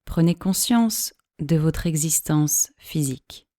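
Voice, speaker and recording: Slow, mellow woman guiding deep relaxation. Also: dry recording, clean studio sound. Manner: calm, measured, soothing delivery with long pauses. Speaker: yoga nidra teacher. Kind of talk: slow guided meditation, instructional relaxation.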